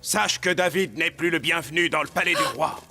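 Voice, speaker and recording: A man voicing a stern, cold royal envoy in a dubbed animated Bible drama. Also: fairly high pitch, a little fast. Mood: stern, commanding.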